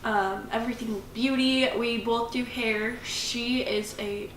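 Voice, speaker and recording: A bright, fairly high-voiced woman of about twenty chats loosely and laughs easily as she co-hosts a casual vlog. She sits back from the mic in an echoey room with a faint hum of room noise behind her. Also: fairly even intonation, slow, far from the mic. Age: 20s. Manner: giggly, loose and chatty. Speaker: channel co-host. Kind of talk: casual chat.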